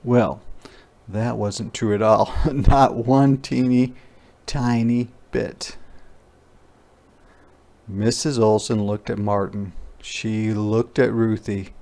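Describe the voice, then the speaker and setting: Slow, creaky-voiced man reading to children. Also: on the low side, fairly even intonation, a little mellow. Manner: pauses to ask questions and explain words simply. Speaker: teacher reading aloud. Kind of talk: interactive picture-book read-aloud for young children.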